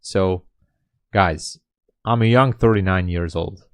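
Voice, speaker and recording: A man's voice: low-pitched, takes it slowly, dry recording. A man in his late thirties, a day trader chatting casually on his live stream and answering viewer comments with a candid, self-deprecating manner. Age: 30s. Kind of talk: casual livestream chat.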